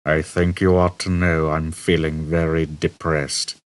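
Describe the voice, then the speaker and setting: A man voicing a gloomy, hopeless robot in an audiobook, with a low, droning, weary delivery. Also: unhurried. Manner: weary, flat, depressed delivery. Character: gloomy, hopeless, put-upon male robot.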